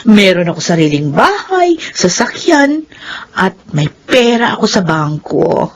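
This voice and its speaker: A woman's voice: medium pitch, sing-song, unhurried. A woman playing a worldly, brash young friend in a Filipino radio drama, with a frank and pushy way of talking. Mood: coaxing, brash.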